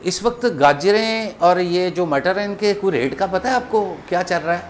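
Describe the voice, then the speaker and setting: A man with a Pakistani accent making a prank phone call, rambling and repeating himself in deliberately confusing improvised banter. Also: sits high, expressive, slight background noise. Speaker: prank caller hosting a comedy show.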